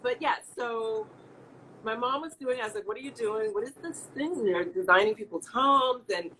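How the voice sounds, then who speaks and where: A woman's voice: a little high, normal speed, a bit bright, slight background noise, low-quality recording. A middle-aged woman from the American Midwest, chatting casually as an interview guest, laughing often and restarting her sentences.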